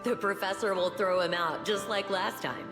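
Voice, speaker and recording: An actress playing a composed, encouraging peacemaker in a video game, measured and gently teasing. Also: female voice, mid-range voice, steady tone, close to an everyday voice. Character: composed, encouraging woman, peacemaker among colleagues. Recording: echoing hall, loud music behind.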